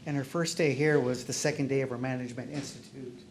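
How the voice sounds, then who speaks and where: A man presenting at a board meeting, speaking in a relaxed, chatty way with frequent ums. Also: medium pitch, quick pace, noisy background. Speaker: finance administrator presenting to a board.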